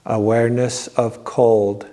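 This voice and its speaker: A man leading a guided yoga nidra meditation in a measured, repetitive, instructing manner. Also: mid-range voice, flat delivery, dark tone. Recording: long reverberant echo on voice.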